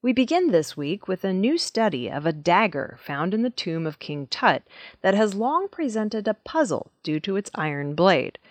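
A woman's voice: lowish voice, no echo, studio quality. A woman presenting scripted news narration, reading as a news presenter.

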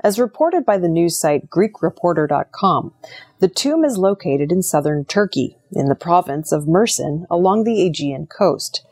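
A woman's voice: fairly low pitch, lively intonation, moderate pace, a little mellow. A woman reading scripted news stories as a news presenter. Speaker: news reader.

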